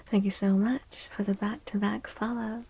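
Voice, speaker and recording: A woman hosting a soft-spoken ASMR tarot stream, talking gently and breathily, often near a whisper, with drawn-out delivery. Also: medium pitch, little pitch movement, breathy edge. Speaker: livestream host giving tarot readings.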